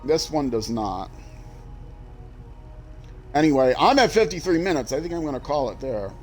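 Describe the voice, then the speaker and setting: A man's voice: a little high, sing-song, moderate pace, soft background music. An older man from Minnesota with a Minnesota accent, rambling and self-deprecating as he streams a driving game. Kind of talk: casual gameplay commentary.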